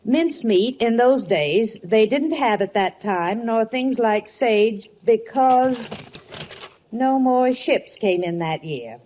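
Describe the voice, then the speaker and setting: Homely radio-comedy mom, middle-aged and mild, higher register, very lively intonation. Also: female voice, slow pace, a little hiss or hum. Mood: reading aloud. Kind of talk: 1950s radio comedy acting.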